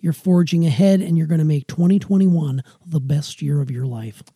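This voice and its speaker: A woman co-hosts a casual family podcast and talks fast. Her voice is low, very dark and husky, recorded close and dry. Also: dry recording. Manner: fast-talking. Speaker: podcast co-host, parent.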